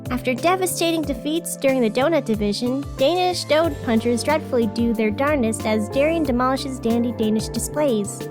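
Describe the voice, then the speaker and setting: A woman acts out a whimsical female host of a surreal town's community radio. Her voice sits high, and she reads scripted announcements with playful, deadpan delivery. Music plays clearly behind her. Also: normal speed, hardly any pauses, natural voice. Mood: wry, dramatic.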